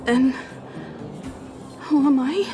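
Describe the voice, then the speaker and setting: A woman playing a distraught, confused woman in a video game, halting and repeating pleading questions. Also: high-pitched. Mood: lost, pleading. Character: distraught, confused woman searching for lost family. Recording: music under the voice. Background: prominent music.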